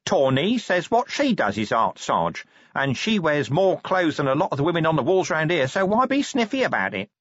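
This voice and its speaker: Bloke putting on a cheeky corporal sidekick, pitched up, blunt and chirpy, slightly muffled. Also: male voice, big pitch swings, normal speed, fairly bright tone, dry recording. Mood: unbothered. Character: cheeky, practical, cheerful male police corporal sidekick.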